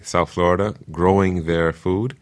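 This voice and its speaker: A man speaking as an expert guest in an interview, explaining things in an earnest, deliberate way. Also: low-pitched, fairly even intonation, clear, resonant tone. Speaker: guest expert, permaculture teacher.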